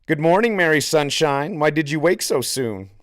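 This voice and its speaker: A man voicing a sneering teenage tent mate in an audiobook, taunting in a sing-song way with drawn-out words. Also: a little high, expressive, a bit bright, no room sound, studio quality, voice pushed up. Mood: mocking.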